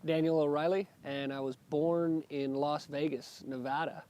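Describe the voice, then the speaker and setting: Mellow, flat-voiced man. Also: on the high side, moderate pace. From Oregon, USA. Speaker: interviewee, former Marine. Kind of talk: casual interview.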